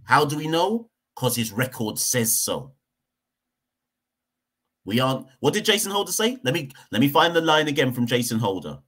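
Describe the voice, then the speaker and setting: A man's voice: mid-range voice, big pitch swings, moderate pace, fairly bright tone, slightly rough. A man from Jamaica, hosting a cricket podcast and giving a heated opinion rant, hammering points home by repeating them. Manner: emphatic, repeats points for stress.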